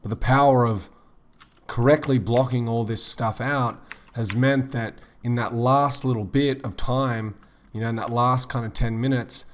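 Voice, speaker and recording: A man teaching 3D modeling in a relaxed, rambling tutorial voice-over, slightly hoarse as he loses his voice. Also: medium pitch. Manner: relaxed, rambling, many fillers like "kind of". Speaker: 3D modeling instructor. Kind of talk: casual tutorial narration.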